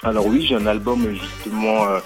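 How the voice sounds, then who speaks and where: A man's voice: on the low side, level tone, a little fast, low-quality recording. A man from French Guiana answering interview questions as a reggae musician, hesitant, with many fillers and repeated words. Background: music playing under the voice.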